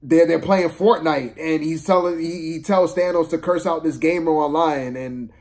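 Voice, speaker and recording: A man giving a casual, unscripted film review rant, exasperated and slangy, repeating himself for emphasis in a halting way. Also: fairly high pitch, darkish tone.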